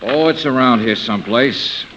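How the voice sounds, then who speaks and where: An actor playing a pushy, wisecracking amateur sleuth, slangy and needling in 1950s radio drama style. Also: male voice, medium pitch, moderate pace, a bit bright, lightly acted. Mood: needling, dogged. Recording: dull vintage radio recording, faint noise.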